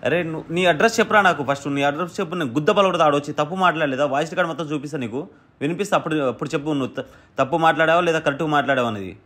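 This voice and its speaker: A man's voice: a little high, racing, a bit bright. A man in a confrontational phone argument, scolding and threatening, repeating his demands. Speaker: prank-call host posing as the woman's brother.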